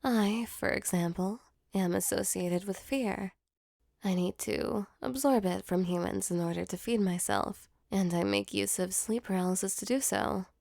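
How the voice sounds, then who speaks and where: Female demon, acted by a woman, ASMR roleplay, creaky voice, dry close sound, soft-spoken, teasing yet tender. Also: medium pitch, normal speed, no room sound. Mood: gentle, earnest. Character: female sleep paralysis demon, mock-menacing turned tender and flustered. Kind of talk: intimate ASMR roleplay audio drama.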